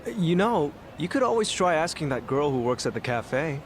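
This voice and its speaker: Friendly street bystander for game dub: casual, talkative, higher pitch, animated. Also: male voice, moderate pace. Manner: casual, helpful. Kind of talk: English-dubbed video game cutscene acting. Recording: faint outdoor city noise behind.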